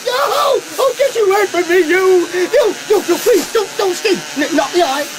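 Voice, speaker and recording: A man playing an excitable, lovestruck young beekeeper in a comedy audio drama, flustered, stammering and eager. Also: very high voice, moderate pace, background noise. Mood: pained, panicked.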